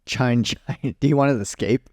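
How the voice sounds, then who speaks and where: A man's voice: medium pitch, moderate pace, a little mellow. A young man co-hosting a podcast, chatting casually and telling family stories with easy laughs. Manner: laid-back storyteller, laughs often. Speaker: podcast co-host. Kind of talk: casual chat.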